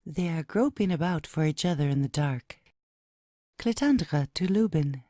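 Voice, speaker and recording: A woman's voice: low-pitched, lively intonation, a little slow, dark tone, no echo. A woman narrating an audio play, reading out headings and stage directions as scripted narration.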